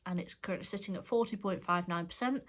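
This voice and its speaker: A middle-aged woman with a stuffed-up, congested voice. She talks in a casual, rambling way, often correcting herself mid-sentence, like a relaxed home vlog. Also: fairly low pitch, fast. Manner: chatty, rambling, self-correcting. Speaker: cross stitch vlogger. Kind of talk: casual show-and-tell chat.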